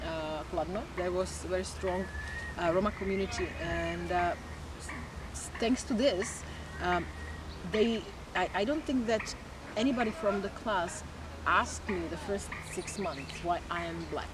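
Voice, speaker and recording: Low-voiced Czech woman with noisy background. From Czech Republic. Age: 40s. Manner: reflective, with many pauses and restarts. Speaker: guest. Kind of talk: casual interview. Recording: loud background noise.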